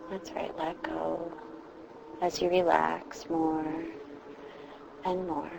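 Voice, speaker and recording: Slow-paced woman guiding meditation over background noise. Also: on the low side. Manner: soothing, reassuring, with drawn-out pauses. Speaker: meditation guide. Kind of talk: guided meditation, instructing a listener. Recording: clearly noisy background ambience.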